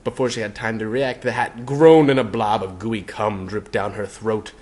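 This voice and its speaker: A man's voice: lowish voice, fairly even intonation, normal speed, darkish tone. A man narrating fan fiction as a mock-dramatic reading. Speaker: narrator, reader.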